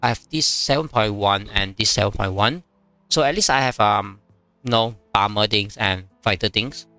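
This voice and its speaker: A man whose first language is Chinese, streaming a strategy game and chatting casually, with many fillers and pauses as he reads viewer comments. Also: fairly low pitch, sharp tone, smooth, dry recording, soft background music. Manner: halting, many fillers, reads chat aloud.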